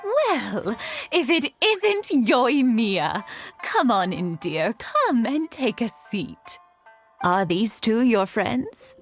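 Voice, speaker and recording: A woman voicing a kindly elderly villager in an anime-style video game, gentle and grandmotherly in manner. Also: on the high side, very animated, drawn-out words, faint music behind, full character voice. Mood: welcoming.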